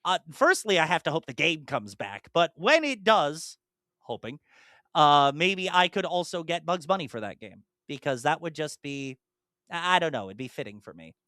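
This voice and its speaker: Bright, smooth-voiced man chatting casually. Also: a little high, normal speed, dry recording, clean studio sound. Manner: rambling, many fillers and restarts; animated, jumps into mock-exasperated asides. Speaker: podcast co-host and streamer. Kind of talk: casual podcast chat.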